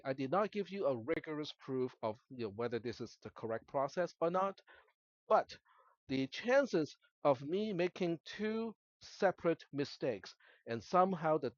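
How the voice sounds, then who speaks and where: A man's voice: a little high, dry recording, dull audio. A man who is a native Cantonese speaker lecturing to a class as an instructor, explaining step by step and often checking in with short tag questions. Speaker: college instructor. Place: classroom, screen-recorded lecture.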